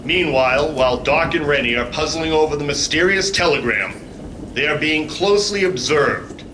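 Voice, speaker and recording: A man's voice: on the high side, lively intonation, normal speed, noisy background, lightly acted. A man announcing and narrating in old-time radio serial style, melodramatic and declamatory. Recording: echoey live convention stage.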